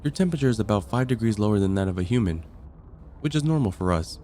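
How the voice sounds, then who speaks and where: A composed vampire counselor acted by a man speaks in a lowish voice, measured and clinical, like an official long in the job. It is an ASMR roleplay with matter-of-fact delivery, over noticeable background noise. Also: lively intonation, mellow, natural voice. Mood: clinical, reassuring.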